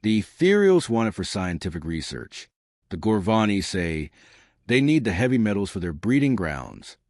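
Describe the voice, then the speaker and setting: A man reads as a weary woman diplomat in a sci-fi audiobook. She explains with exasperation, in lively, moving pitch and a dry, close sound. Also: moderate pace, no room sound. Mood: weary, exasperated. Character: weary female human delegate explaining a deadlock.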